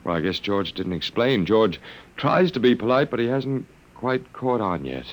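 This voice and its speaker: A man's voice: on the low side, very animated, normal speed. A man playing a sardonic, resigned captive professor in a classic radio drama, musing and hesitating as he talks. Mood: wry, resigned. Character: wry, resigned male anthropology professor held captive by aliens.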